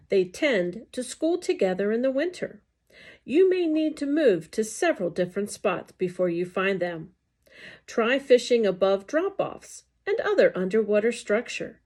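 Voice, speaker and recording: A woman reading scripted educational narration aloud in a deliberate, enunciated way. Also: a little high, darkish tone.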